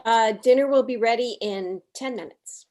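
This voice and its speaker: A woman's voice: fairly high pitch, moderate pace, a little mellow, natural voice. A woman playing a doting, fussing mother of a grown son in a comedy table read. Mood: fussing, caring.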